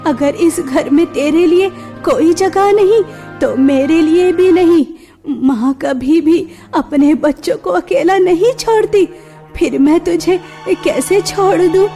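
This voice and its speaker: A woman's voice: very high-pitched, slow pace, dark tone, faint music behind, exaggerated voice. A woman playing an elderly, protective mother, earnest and emphatic, in a melodramatic cartoon story. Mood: devoted, resolute. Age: older.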